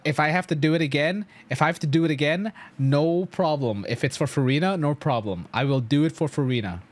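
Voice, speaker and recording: A young man live-streaming a game, chatting casually with lots of slang, swearing and animated reactions. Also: mid-range voice.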